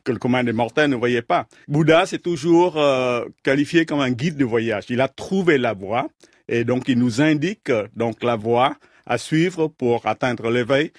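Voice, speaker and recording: A man from Vietnam, a scientist guest in a radio interview, explaining his ideas in a measured way, pausing often to search for words. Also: mid-range voice, no room sound, clean studio sound. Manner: measured, thoughtful, halting with many fillers. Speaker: guest, astrophysicist.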